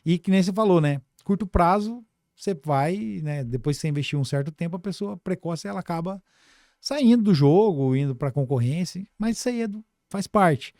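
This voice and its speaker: A man's voice: high-pitched, animated, moderate pace, a little mellow, no room sound, studio quality. A Brazilian man speaking as a business guest on a casual podcast, colloquial and full of little tag questions. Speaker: podcast guest, financial services business director.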